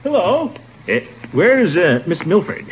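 A man's voice: sits high, big pitch swings, measured pace. An actor playing a jolly, avuncular fat man talking hesitantly to children in old-time radio comedy. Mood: jovial. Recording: muffled, noisy old radio recording.

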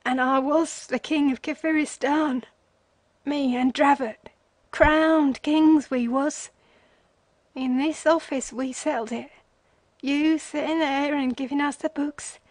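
A woman's voice: high voice, fairly even intonation, takes it slowly, no room sound, pitched above the performer's own voice. A woman reading aloud, voicing a ragged, broken old man who whines and pleads. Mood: pleading. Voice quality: whining, cracked. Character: broken ragged old male wanderer, whimpering. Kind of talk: storybook reading.

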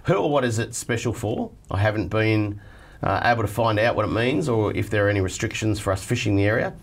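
A man's voice: fairly low pitch, lively intonation, fast. A middle-aged Australian man hosting a studio chat show, reading viewer letters aloud in a chatty, joking way. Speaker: host reading viewer mail. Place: TV studio set at a desk.

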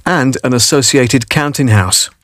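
British male voice-over, middle-aged, measured scripted storytelling read, medium pitch, dry booth sound. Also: fairly bright tone, no room sound. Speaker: voice-over artist. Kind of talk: scripted documentary narration for a promotional video. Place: vocal booth. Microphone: studio condenser microphone.

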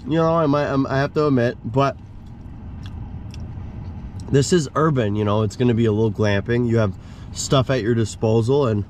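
A man's voice: mid-range voice, darkish tone. Male vlog host, mid-range voice, informal and slangy, chatting in and around a parked car with background noise. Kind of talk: casual camping vlog chat.

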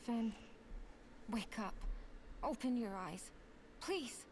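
A woman's voice: on the high side, animated, slow pace, a little mellow, slight background noise. A woman voicing an earnest, devoted young warrior in a video game. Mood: pleading, tender.

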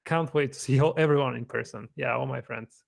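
A man's voice: mid-range voice, a little fast, vocal fry. A man in his thirties with a Bulgarian accent, answering interview questions thoughtfully over a video call from his living room. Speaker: guest software engineer. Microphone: desk microphone on video call.

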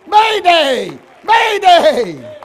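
An older man with a gravelly, raspy voice, preaching a folksy, emphatic sermon to his congregation. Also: extremely high pitch, huge pitch swings, extremely slow, some chatter behind. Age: older, 60s or 70s. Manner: emphatic, folksy, addressing listeners directly with questions. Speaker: preacher, church bishop. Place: church sanctuary, at the pulpit.

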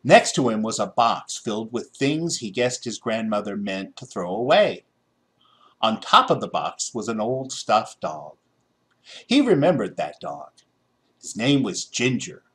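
Male American storybook narrator, middle-aged, expressive, widely varied intonation. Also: lowish voice, measured pace. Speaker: storybook reader.

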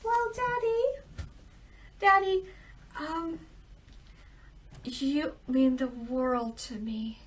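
Casting: woman as a soft-spoken humble princess, hushed and slow. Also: high-pitched. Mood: earnest. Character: gentle youngest princess, humble and sincere. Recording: distant mic.

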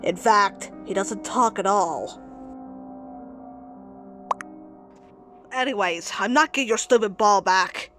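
A woman's voice: mid-range voice, normal speed, a bit bright, close to an everyday voice. A woman voicing an irritable, nerdy male cartoon anteater in an amateur comic dub, grumbling and sarcastic, dramatic when alarmed. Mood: annoyed. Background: music.

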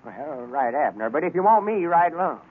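Husky, pompous country storekeeper. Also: male voice, a little high, very animated, normal speed. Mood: self-important. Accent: rural Southern US. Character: pompous, scolding, self-important country storekeeper, male. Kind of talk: 1930s radio comedy acting. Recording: tinny old radio recording.

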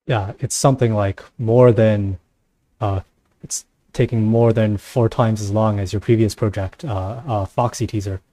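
A man's voice: on the low side, fairly even intonation, unhurried, mellow, dry recording. A man hosting a casual live panel show, sounding somewhat tired. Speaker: host.

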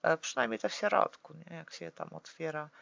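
A woman calmly walking through a papercraft tutorial step by step, often pausing with drawn-out fillers as she works. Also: low voice, creaky, highs cut off. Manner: hesitant, full of drawn-out "e" fillers while working; calm, practical instructing.

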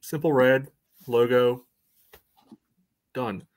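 A man's voice: mid-range voice, steady tone, extremely slow. A man in his thirties with an American accent, co-hosting a casual sports chat in a dry, understated way. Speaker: co-host. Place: room at home. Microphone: video call.

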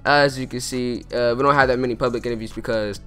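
A man's voice: medium pitch, fairly even intonation, fast, clean tone, soft background music. A teenage boy hosting a casual interview vlog in a school cafeteria, talking loosely with lots of fillers and slang. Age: teens. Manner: laid-back slangy chatter with lots of fillers. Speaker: vlogger interviewing classmates. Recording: noisy crowded room on a phone. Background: loud crowd chatter.

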